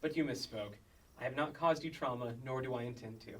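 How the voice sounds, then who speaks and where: Polite substitute schoolmistress read by a man, husky mid-range voice, measured and formal, sketch comedy. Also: mid-range voice, lightly acted. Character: polite, measured female substitute teacher Miss Della. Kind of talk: staged reading of sketch comedy. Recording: slightly distant microphone.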